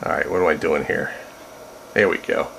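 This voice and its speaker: A man's voice: extremely low pitch, fairly even intonation, normal speed, gravelly, a bit bright. A man making casual, wry and folksy conversation over two-way radio. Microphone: radio desk mic. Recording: noisy, staticky two-way radio transmission.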